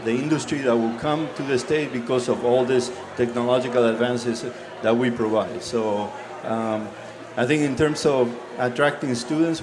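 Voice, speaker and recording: A man with a deep Southern accent, a college dean speaking on a panel, hesitant with many fillers. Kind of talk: panel discussion remarks.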